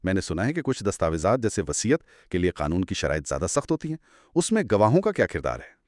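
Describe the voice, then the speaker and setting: Casting: male co-host, lowish register, very expressive intonation, curious questioner in a conversational lesson. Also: fast talker, dry recording, clean studio sound. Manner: inquisitive, rephrases points in simple terms. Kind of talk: conversational educational dialogue.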